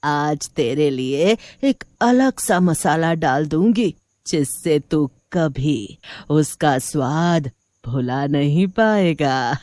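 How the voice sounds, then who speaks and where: A woman performs a sinister old village granny with a witch's menace. The voice sits a little low and moves slowly, with a sly horror-cartoon flavour. The recording is dry. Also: animated, darkish tone, dry recording, exaggerated voice. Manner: slow, menacing, cackling. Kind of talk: Hindi horror cartoon voice acting.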